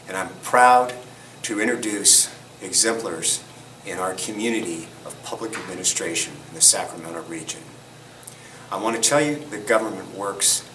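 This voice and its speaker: Deep-voiced man giving a formal welcome. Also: fairly bright tone, slight background noise. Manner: proud, formal, measured. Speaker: chapter president introducing awards. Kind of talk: formal video introduction. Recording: echoey room, distant mic.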